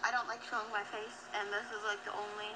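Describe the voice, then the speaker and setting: A woman's voice: mid-range voice, level tone, fast, background noise. A young woman on a video chat, blunt, defensive and profane.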